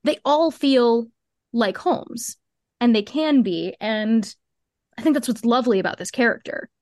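A woman's voice: fairly high pitch, a little slow, darkish tone, no echo, clean studio sound. A woman speaking as a podcast guest in casual, earnest, emphatic interview chat. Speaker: podcast guest, fiction podcast co-creator.

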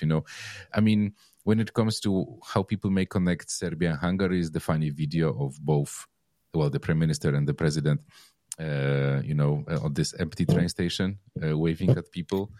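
A man's voice: extremely low pitch, mellow, dry recording, studio quality. A man hosting a podcast interview, chatting casually and rambling with many fillers and restarts. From Poland. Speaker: podcast host.

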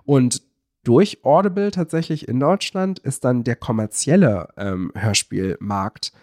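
A young man explains things casually on a podcast, haltingly searching for words and correcting himself. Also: mid-range voice, darkish tone, no room sound, clean studio sound. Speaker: podcast co-host.

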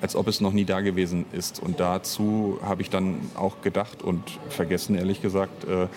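Low-voiced man explaining haltingly. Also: flat delivery, a little mellow. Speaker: interview guest, audio drama author and director. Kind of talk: unscripted interview answers.

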